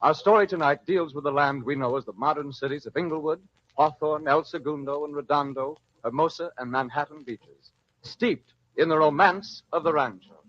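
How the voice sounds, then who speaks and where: Male American radio drama host, courtly scripted storyteller, higher-than-average voice, dull vintage recording. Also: moderate pace, a bit bright.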